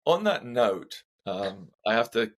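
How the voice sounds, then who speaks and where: A man's voice: lowish voice, very animated, slow. A Scottish man hosting a podcast interview, chatty and self-deprecating, asking eager questions. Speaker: podcast host. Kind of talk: casual interview.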